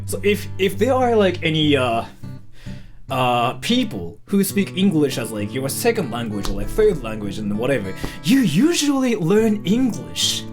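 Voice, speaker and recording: A young man from Japan, a live streamer, chatting casually to his viewers in a rambling way, full of fillers and mild swearing. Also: high voice. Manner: rambling, filler-heavy, says "freaking" and "like" constantly.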